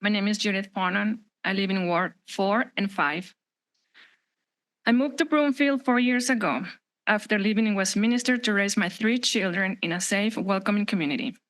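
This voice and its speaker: A woman's voice: medium pitch, moderate pace, fairly bright tone. Earnest woman with a Mexican Spanish accent. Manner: earnest, some slips and restarts. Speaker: public commenter at a council meeting. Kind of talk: reading a prepared public comment. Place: council chamber.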